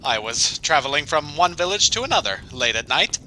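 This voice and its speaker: A man's voice: a little high, very animated, fairly quick, voice pushed up. A man voicing a timid, superstitious schoolmaster in an audio drama, with fussy, hesitant, genteel old-fashioned diction. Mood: eerie, self-important. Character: timid, polite schoolmaster, nervous yet boastfully superstitious. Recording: clear ambient noise under the voice.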